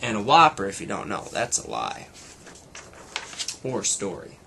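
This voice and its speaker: A man reading a children's story expressively, pausing to explain and comment for young listeners. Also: lowish voice, wildly swooping pitch, vocal fry, pushed and bright, a little hiss or hum. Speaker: storybook reader for schoolchildren. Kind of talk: expressive children's read-aloud.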